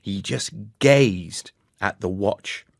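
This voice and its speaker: A man reading a children's story in a measured, deliberate, dramatic way. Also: lowish voice, gravelly, dry recording, clean studio sound. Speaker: story narrator. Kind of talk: expressive scripted storybook narration for learners.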